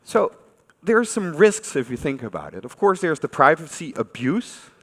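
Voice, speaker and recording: A man's voice: a little high, sing-song, moderate pace, fairly bright tone. Dutch-accented man speaking in a reverberant hall. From Netherlands. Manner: conversational, addresses listeners with questions. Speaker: conference speaker. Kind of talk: informal conference talk to an audience. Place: large conference hall. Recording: reverberant hall stage recording.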